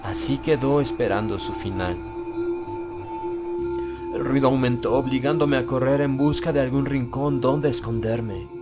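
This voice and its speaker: Loud music runs beneath a man narrating a horror audiobook. His voice sits at a medium pitch. He reads in a grave, theatrical way, building suspense as a first-person storyteller. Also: unhurried. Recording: loud background music under the voice.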